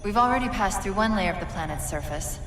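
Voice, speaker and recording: An actress playing a witty, analytical female AI companion in a video game, explaining things briskly with dry humor. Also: mid-range voice, expressive, a bit bright, a little hiss or hum, lightly acted. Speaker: game character. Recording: game audio with music.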